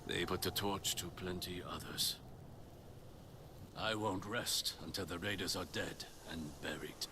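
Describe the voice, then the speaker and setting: Blunt wry spear teacher in a game, stern, lowish voice, noisy background. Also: male voice, steady tone, unhurried. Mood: grave. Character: gruff, stern male spear master and resistance leader. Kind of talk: dramatic video game acting.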